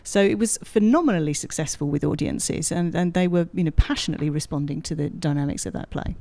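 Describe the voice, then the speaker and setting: A woman's voice: low-pitched, fast, gravelly, dark tone, no room sound. Woman academic in close, dry studio sound. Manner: articulate, explanatory, thinking aloud with rhetorical questions. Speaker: guest academic expert, drama lecturer. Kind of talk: radio interview, explanatory discussion. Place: radio studio.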